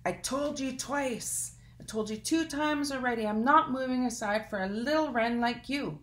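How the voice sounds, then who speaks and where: A woman voicing a big crabby old male elk in a told story, with loud gruff refusals. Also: on the high side, normal speed, voice pushed up, close to an everyday voice. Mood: exasperated.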